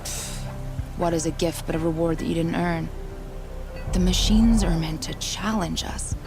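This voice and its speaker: A proud game huntress with a lowish, creaky voice. She speaks bluntly and with gruff scorn, over clear music. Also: unhurried. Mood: scornful, resentful. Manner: blunt, disdainful delivery. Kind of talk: dramatic video game dialogue. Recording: music behind the voice.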